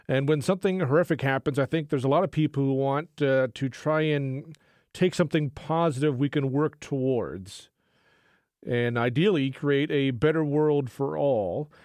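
A male talk radio host speaking into a studio microphone in an earnest, measured manner. His voice sits in a middle range and the sound is close and dry, with no room around it. He pauses often with small fillers as he sets up the topic. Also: mid-range voice, a little mellow, dry recording.

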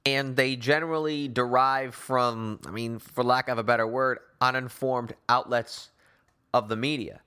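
Bright, forceful male sports host. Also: medium pitch, steady tone, a little slow, smooth. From Newton, Massachusetts, United States. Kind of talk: opinion monologue on sports talk show.

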